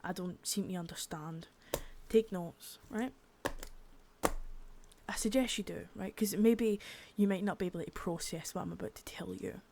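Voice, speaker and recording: A woman's voice: medium pitch, moderate pace, vocal fry, mellow, a little hiss or hum, close to an everyday voice. A woman voicing a stern, foul-mouthed female boss in an ASMR roleplay, harsh and cold, often dropping to a whisper.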